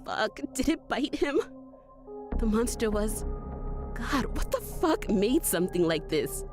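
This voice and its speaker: A woman's voice: a little high, big pitch swings, unhurried, music in the background. A young woman playing a gutsy, foul-mouthed monster fighter who narrates a horror audio drama in a breathless, conversational way. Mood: horrified. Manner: sweary, breathless, conversational first-person storytelling.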